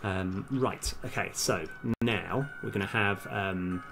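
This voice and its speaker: A man live-streaming as a game developer, casually thinking aloud in halting mutters as he works. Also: lowish voice, gravelly, background noise. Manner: halting, muttering to self while working. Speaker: game developer livestream host. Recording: music behind the voice. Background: music, computer keyboard typing.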